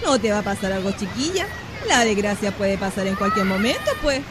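A woman playing a worried, protective, poor mother and neighbour in a radio drama, colloquial and expressive with a Chilean accent. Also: medium pitch, fairly quick, over a noisy crowd, natural voice. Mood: worried, scolding. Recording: clear ambient noise behind voice.

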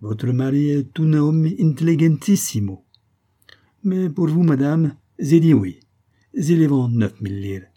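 Man playing a courtly old shopkeeper, pitched up. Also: expressive, unhurried, warm tone. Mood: flattering. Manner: obsequious, salesmanlike, ornate politeness.

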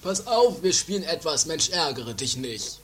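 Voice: medium pitch, sing-song, normal speed, a bit bright. An actor playing a meek, long-suffering companion with a cheeky streak in an amateur comedy radio play, coaxing and apologetic.

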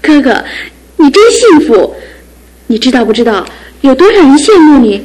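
Playful young sister. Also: female voice, high-pitched, big pitch swings, moderate pace, poor audio. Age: young adult. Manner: bright, teasing, playful. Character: lively young woman, groom's younger sister. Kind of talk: 1970s radio drama acting.

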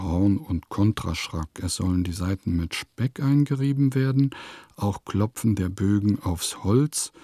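An actor playing an eccentric village composer, declaiming lists, notes and diary entries in a scripted radio play. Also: male voice, low voice, mellow, no echo, studio quality, heavily acted.

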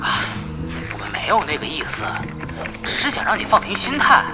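An eager young party leader in a radio play. He is chatty and coaxing, his voice bouncing with lively pitch, with music underneath. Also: moderate pace. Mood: placating. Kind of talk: Chinese audio drama acting.

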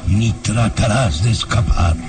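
A man's voice: lowish voice, takes it slowly, noisy background. An actor playing a gaunt, menacing baron in a radio serial, imperious and threatening in his delivery. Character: menacing gaunt baron interrogating a frightened boy. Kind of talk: Spanish-language radio serial acting. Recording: radio drama under loud music.

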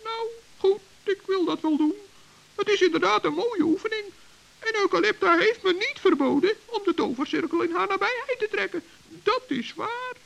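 A man playing a small, earnest, trusting forest gnome with a squeaky, creaky voice in a children's radio play. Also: expressive, normal speed, fairly bright tone, heavily acted, low-quality recording. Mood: willing, trusting. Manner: earnest, naive, polite.

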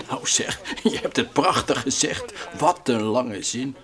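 An actor playing an anxious, wry space traveller who grumbles and jokes colloquially in a Dutch radio play. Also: male voice, mid-range voice, expressive, slow pace, fairly bright tone. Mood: wry, mocking.

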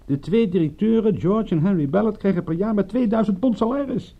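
An actor playing a sharp, persistent private detective in a radio drama, brisk, questioning and commanding, prickly and secretive. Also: male voice, high voice, creaky, dull audio. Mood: excited, probing.

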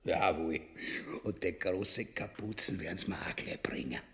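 A man's voice: deep voice, a little slow, a bit bright. An actor playing a greedy, boorish usurper king and husband in a grotesque radio drama, blustering and commanding in an Austrian accent. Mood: smug, gloating.

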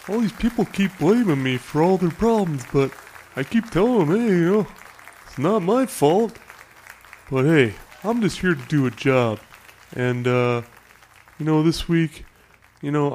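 A man's voice: on the high side, darkish tone. A man playing a defensive, put-upon fellow in a comic radio bit, hesitant and self-justifying. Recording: faint crowd and background noise.

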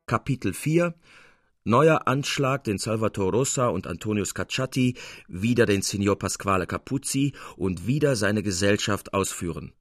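A man's voice: fairly low pitch, lively intonation, moderate pace, no room sound, soft background music. A man reading a literary story aloud as a scripted radio narration. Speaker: narrator, reader.

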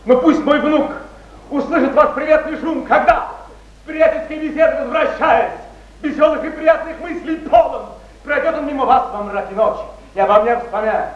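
An ardent poet declaims in a very high, emphatic voice. The delivery is exalted and theatrical, in a muffled, echoey old stage recording. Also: male voice, normal speed. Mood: tender, reflective. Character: ardent Russian poet declaiming his verse.

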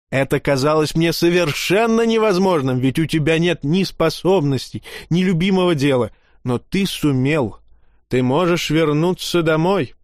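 A man reading a humorous fantasy tale aloud as an audiobook narrator, lively in his storytelling. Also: fairly high pitch, no room sound, clean studio sound.

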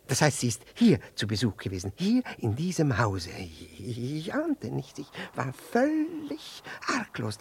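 Jealous middle-aged husband in vintage radio drama: husky, flustered, stammering, with big swings in pitch. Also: male voice, full character voice. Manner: stammering, repeating and correcting himself. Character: flustered jealous husband, pretending it concerns his friend. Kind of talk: 1960s German radio play acting.

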